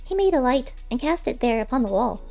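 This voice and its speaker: A woman voicing a small male animal in a storybook, soft, tentative and earnest. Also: high voice, sing-song, brisk, soft background music, voice pushed up. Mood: hesitant, earnest. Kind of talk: character voice in audiobook.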